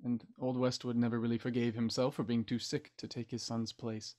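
An actor playing an older army doctor, breezy, folksy and matter-of-fact, in an audio drama. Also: male voice, mid-range voice, level tone, fairly quick, a little mellow, natural voice.

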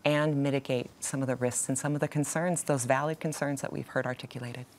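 A woman's voice: very deep voice, fairly even intonation, darkish tone, a little hiss or hum. A middle-aged woman giving her views as a panel guest in a TV studio, laying out her points one by one. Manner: measured, lists points one by one.

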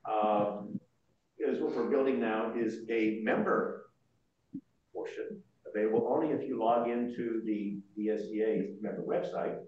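An older man presenting informally at a board meeting, explaining things in a halting way. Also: lowish voice, steady tone. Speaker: association board member presenting. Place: large indoor meeting room. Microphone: far room microphone. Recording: muffled, very echoey room, distant mic.

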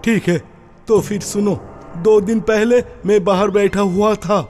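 A man's voice: sits high, steady tone, unhurried, darkish tone, faint music behind. A man voicing an irritable, blustering old village cleric in a cartoon, grumbling indignantly. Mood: irritated. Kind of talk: cartoon voice acting.

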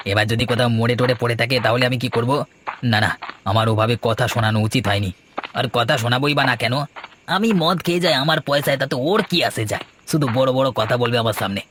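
A man playing a rough, drunken, cowardly husband who blusters and stammers in a horror cartoon, with music behind him. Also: medium pitch, background noise, close to an everyday voice.

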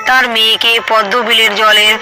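A woman narrating a cartoon fairy tale in a steady, plain storytelling manner. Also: fairly high pitch, normal speed, over loud music.

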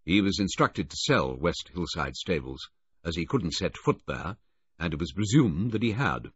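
A man's voice: sits low, very animated, moderate pace, husky, no room sound, heavily acted. Brigadier, a narrator doing a stern military man. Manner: clipped, formal pronouncements. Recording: dull lo-fi, dry close audio.

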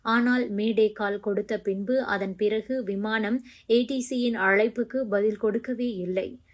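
A woman's voice: medium pitch, fairly even intonation, a little slow. A woman reading scripted news narration as a news narrator.

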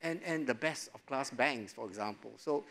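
A middle-aged man speaks as a panelist on a studio stage, recorded on a lapel mic. His talk is relaxed and loose, dotted with small chuckles and frequent filler phrases. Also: medium pitch, moderate pace, creaky. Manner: relaxed, chuckling, many "you know" fillers. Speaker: investment panelist. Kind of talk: panel discussion answer.

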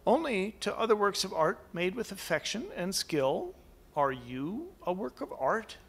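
A man voicing a house that speaks of itself as "we", calm, formal and full of wonder. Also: sits high, measured pace, creaky, husky, voice pushed up, exaggerated voice. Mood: solemn. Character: an ancient, solemn house speaking as "we".

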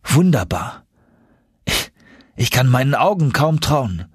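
A man acts out a young male adventurer in an audiobook story. He sounds excitable and full of doubt, questioning in astonishment. The husky voice is lifted well above a narrator's tone. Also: mid-range voice, slow pace, dry recording, studio quality, voice pushed up, exaggerated voice. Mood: amazed.

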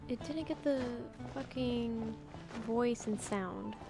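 Woman, higher-pitched voice, slow halting delivery, exasperated, casual game commentary, game music behind. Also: creaky. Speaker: gaming video host. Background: video game music.

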